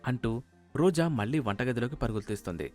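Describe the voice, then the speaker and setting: A man's voice: medium pitch, animated, fast talker, a little mellow, soft background music. A man narrating a scripted moral story as its storyteller.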